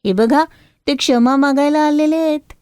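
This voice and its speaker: A woman voicing a kindly goddess disguised as a young bangle seller, reassuring and firm when warning, in an animated moral story. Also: high-pitched, moderate pace, pitched above the performer's own voice, natural voice. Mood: pleased.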